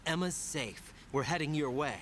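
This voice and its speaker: An actor playing a young male operative who speaks quietly and levelly. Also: on the high side, expressive, moderate pace, a little hiss or hum.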